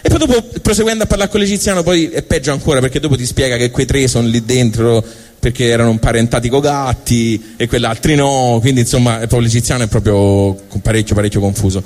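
A man's voice: mid-range voice, fairly even intonation, a little mellow. Rambling Tuscan comedian, a man mid-monologue. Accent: Tuscan Italian. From Tuscany, Italy. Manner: rambling, halting, colloquial, self-interrupting. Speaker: stand-up monologist. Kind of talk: live comic storytelling monologue.

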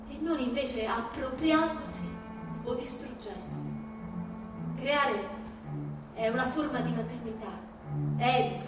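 A woman giving a dramatic stage reading of literary texts, with a measured, declamatory delivery and deliberate pauses. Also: high voice. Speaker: stage performer. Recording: very echoey hall from far off, music behind. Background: live music.

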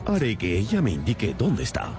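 A man's voice: mid-range voice, wildly swooping pitch, a little fast, noisy background. An actor playing a confident, commanding detective in a radio drama, assured and precise as he instructs. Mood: self-assured, explaining. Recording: music behind the voice.

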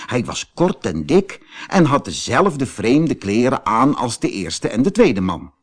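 Slow, animated male storyteller. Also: medium pitch. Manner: expressive storytelling. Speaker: audiobook narrator. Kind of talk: children's fairy tale narration.

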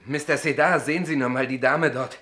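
Ein Schauspieler spielt in einem deutschen Hörspiel einen naiven, ernsthaften Gelegenheitsdichter, arglos und redselig. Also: male voice, mid-range voice, fairly even intonation, brisk, sharp tone, faint music behind, lightly acted. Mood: astonished. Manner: guileless, chatty, declaims rhymes solemnly. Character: naive, earnest amateur greeting-card poet, mild-mannered man. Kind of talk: German radio play acting.

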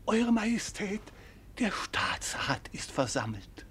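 An actor playing a deferential royal servant in a radio play, formal, stilted and obsequious. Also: male voice, sits high, wildly swooping pitch, slow pace, a bit bright, a little hiss or hum, heavily acted. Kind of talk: theatrical German radio play acting.

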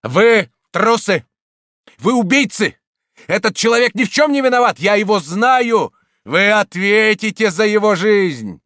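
A man voicing an outraged middle-aged Jesuit priest who shouts indignantly at a mob. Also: a little high, little pitch movement, slow pace, hardly any pauses, clear, resonant tone, dry recording, studio quality, voice pushed up.

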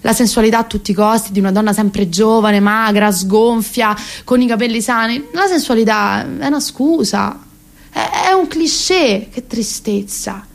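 A woman's voice: fairly high pitch, normal speed, creaky. An actress around thirty with a Roman Italian accent, playing a neurotic, rambling, self-ironic woman in a comic radio monologue. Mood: disillusioned.

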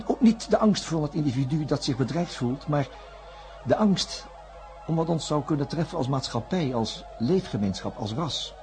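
A male actor plays a conscientious, worried doctor in a science fiction radio drama. His voice sits at a medium pitch for a man, grave and apt to falter, with faint music in the recording. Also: a little mellow, close to an everyday voice. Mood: fearful, ashamed. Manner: grave, halting when agitated. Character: anxious, conscientious male doctor narrating a disaster.

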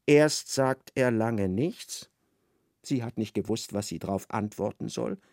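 A man's voice: on the low side, takes it slowly, gravelly, darkish tone, clean studio sound. A man narrating a literary radio drama as a wry storyteller who echoes an aggrieved wife's thoughts. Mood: indignant, wry.

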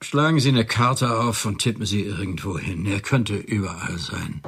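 An actor playing a resigned father of a runaway son in a radio play, speaking quietly. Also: fairly low pitch, moderate pace, very noisy, natural voice.

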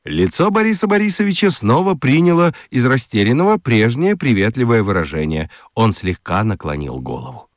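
A man narrating a children's radio play in an expressive, deliberate, wry storytelling style. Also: medium pitch, dry recording. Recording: dry studio with music underneath. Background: music.

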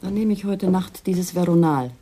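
Eine Schauspielerin spielt in einem deutschen Krimihörspiel eine eifersüchtige, verletzte, bitter anklagende Ehefrau mit hauchiger Stimme. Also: female voice, on the low side, moderate pace, mellow, a little hiss or hum, close to an everyday voice. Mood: darkly wounded. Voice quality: husky, breathy, often near a whisper. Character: jealous, wounded, accusing wife, bitterly manipulative. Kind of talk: German radio crime drama acting.

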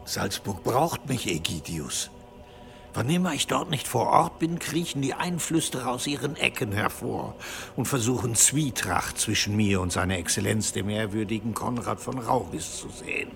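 An actor playing a self-important, scheming bishop in a German audio drama, speaking in a pompous, stately way. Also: male voice, on the low side, lively intonation, normal speed, creaky, fairly bright tone, soft background music. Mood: solemn.

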